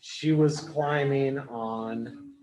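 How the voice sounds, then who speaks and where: A man reads a storybook aloud for small children. His pace is slow, with deliberate pauses. He explains in short, simple phrases, like a teacher. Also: mid-range voice, a little mellow. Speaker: children's storybook reader, early education teacher.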